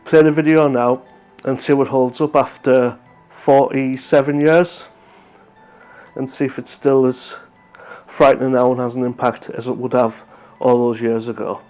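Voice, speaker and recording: A man's voice: medium pitch, flat delivery, measured pace, darkish tone, soft background music. A man hosting a reaction video, giving a casual, unscripted review in a hesitant, rambling, matter-of-fact way. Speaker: YouTube reaction host.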